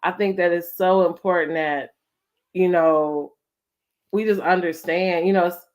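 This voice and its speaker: A woman's voice: fairly low pitch, steady tone, a little slow, darkish tone. A woman with an American accent hosting a casual interview, talking conversationally with lots of "like" and "you know". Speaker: host of a family storytime interview.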